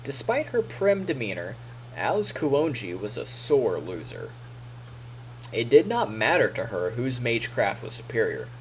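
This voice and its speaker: Lively male streamer narrating a story. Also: medium pitch, measured pace, slight background noise, low-quality recording. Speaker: live streamer reading a visual novel. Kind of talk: narration read aloud with casual asides.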